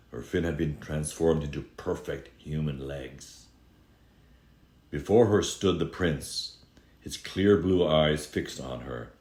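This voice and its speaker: A male storyteller with an extremely low voice reads a fairy tale aloud. His delivery is dramatic and expressive, stretching words for emphasis, and the room adds a clear echo. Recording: echoey room.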